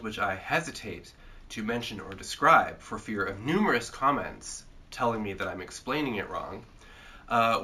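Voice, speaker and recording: A man's voice: medium pitch, sharp tone. A man hosting a casual chat, congested from a cold, rambling and joking with lots of filler sounds. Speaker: host.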